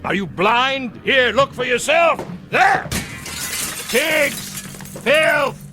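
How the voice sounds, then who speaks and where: An actor playing an arrogant, panicking older military captain in a television drama, with a blustering, theatrical manner. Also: male voice, extremely high pitch, lively intonation, extremely slow, over music, heavily acted. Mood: mocking rage. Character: arrogant, panicking former war camp captain, older man.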